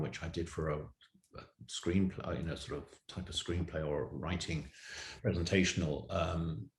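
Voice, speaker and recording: Middle-aged male storyteller, very low voice, measured and halting, roomy echoing recording. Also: a little mellow. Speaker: writer and actor, interview guest. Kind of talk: reflective anecdote in a panel interview.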